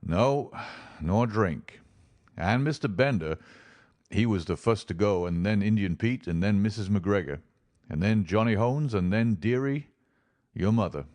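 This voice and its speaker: A man reading an audiobook, voicing a weathered frontier man who talks in a rough rustic dialect, gentle and resigned. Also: fairly low pitch, expressive, a little slow, dry recording.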